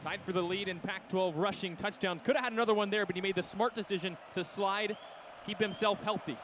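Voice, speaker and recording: A man's voice: sits high, fairly even intonation, brisk. A man giving conversational, explanatory football analysis between plays as a colour commentator. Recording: noisy stadium background.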